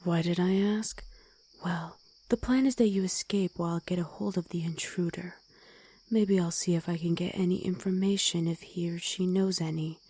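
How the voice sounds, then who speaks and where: A woman voicing a gruff, protective wolf girl ex-soldier in an ASMR roleplay, stern and commanding, often in a hush. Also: fairly low pitch, fairly even intonation, moderate pace. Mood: hushed, urgent.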